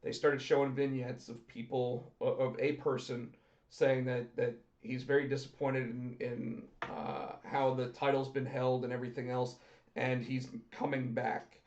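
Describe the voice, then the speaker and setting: A man co-hosting a casual wrestling podcast, talking excitedly and repeating himself, with his voice going hoarse. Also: mid-range voice, a little slow. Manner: excited, talkative, repeats words when starting. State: losing his voice. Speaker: podcast co-host. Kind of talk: casual chat about pro wrestling. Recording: roomy, dull lo-fi sound.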